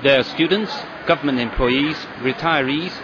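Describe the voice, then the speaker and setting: A man narrating a television news report in a measured, even scripted delivery. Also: mid-range voice. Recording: dull lo-fi broadcast audio with crowd and street noise.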